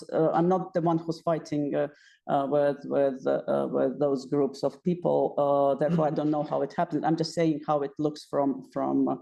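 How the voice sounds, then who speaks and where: A woman's voice: low-pitched, gravelly, dark tone. A woman on a discussion panel, sharing her view in an earnest, hesitant way and thinking aloud. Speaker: panel participant.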